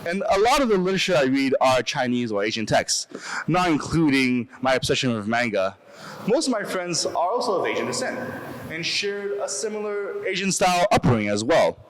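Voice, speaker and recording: A young man from Canada giving a prepared, reflective personal talk in an earnest, measured way. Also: rough recording. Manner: earnest, measured delivery with lively pitch.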